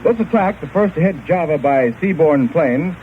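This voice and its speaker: Through a narrow, crackly old radio transmission comes an American man with a fairly high voice. He reads scripted war news in a formal, measured 1940s correspondent style. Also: expressive. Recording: noisy, narrow-band 1940s shortwave radio recording.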